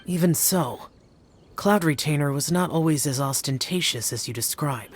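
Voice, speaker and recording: A man's voice: a little high, normal speed, close to an everyday voice. A man voicing a stern, reserved guardian spirit who speaks formally and measuredly. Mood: solemn. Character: aloof, stern young male guardian spirit.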